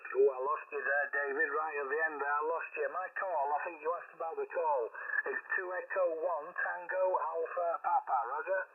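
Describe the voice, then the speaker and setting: Higher-voiced chatty man over thin radio. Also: fairly even intonation, brisk, husky, narrow, tinny audio. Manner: cheerful, chatty, calls people "mate". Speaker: amateur radio operator. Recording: distant radio signal, phone-thin with hiss.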